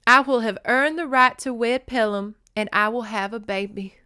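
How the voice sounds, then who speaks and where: A woman's voice: a little high, normal speed, bright, forceful tone, clear, resonant tone, no room sound, clean studio sound. A woman voicing an earnest, dutiful young princess, nervous but resolute, in formal speech from a romance novel. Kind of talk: dramatic romance novel reading.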